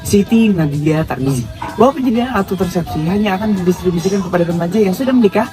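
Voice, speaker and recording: Student narrator, quick read-aloud delivery, music underneath. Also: medium pitch, animated. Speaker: student presenter. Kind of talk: scripted narration of a presentation.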